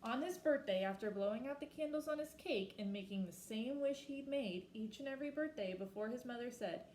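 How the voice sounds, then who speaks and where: A woman reading a children's picture book aloud expressively, slowing down for emphasis and pausing to ask her listeners questions. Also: a little high, darkish tone, reverberant, slight background noise. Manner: asks listeners reflective questions. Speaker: storybook reader.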